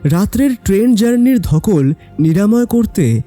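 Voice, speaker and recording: A man's voice: sits high, animated, unhurried, dark tone. A man narrating a scripted story in a dramatic storytelling style. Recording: background music.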